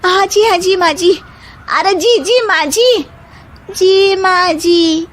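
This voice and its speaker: बहुत पतली, चहकती जवान बहू. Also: female voice, very high voice, slow pace, pitched above the performer's own voice. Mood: eager. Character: squeaky, eager, squabbling young daughters-in-law. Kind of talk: exaggerated comic story acting.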